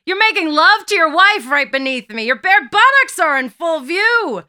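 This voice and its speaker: A woman voicing a loyal, excitable male servant in an audiobook story, protesting indignantly in shouts. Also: very high-pitched, normal speed, voice pushed up, exaggerated voice. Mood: scandalized.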